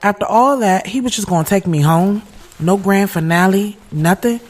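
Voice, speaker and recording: A woman's voice: mid-range voice, normal speed, darkish tone, close to an everyday voice. A woman playing a sassy, candid thirty-year-old who tells her own story in slangy, conversational narration. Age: 30s. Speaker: narrator and lead of an audio drama series. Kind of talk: first-person storytelling narration, conversational urban drama.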